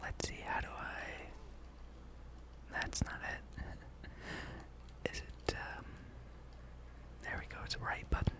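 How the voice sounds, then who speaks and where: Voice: slow pace, pauses often. A person whispering an ASMR gameplay ramble as host, thinking aloud. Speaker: gaming channel host.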